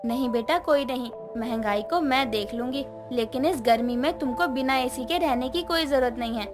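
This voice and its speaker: A woman voicing a thrifty, worried widowed mother, loving but stern, in an animated moral story. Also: sits high, moderate pace, music in the background, lightly acted. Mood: hurt, caring.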